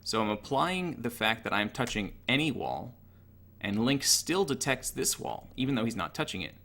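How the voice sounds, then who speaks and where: A man's voice: medium pitch, sing-song, normal speed, pushed and bright. A man giving an informal, technical tutorial over gameplay in a matter-of-fact, analytical way. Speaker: gaming tutorial narrator.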